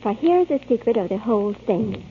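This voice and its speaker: High-voiced woman on tinny old radio. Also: lively intonation, moderate pace. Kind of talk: scripted 1940s radio testimonial reading. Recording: tinny, noisy 1940s radio broadcast.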